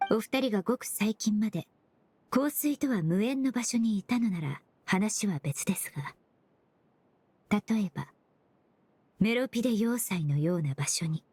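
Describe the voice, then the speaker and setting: A woman's voice: medium pitch, animated, normal speed, darkish tone, a little hiss or hum, lightly acted. An actress in a Japanese game dub playing a composed, analytical young woman investigator who speaks politely and methodically. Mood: coolly probing.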